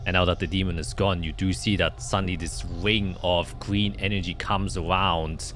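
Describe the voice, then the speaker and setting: A man narrating a tabletop fantasy game as game master, in a halting, descriptive storytelling manner. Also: low voice, little pitch movement. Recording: music playing behind the voice. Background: loud background music.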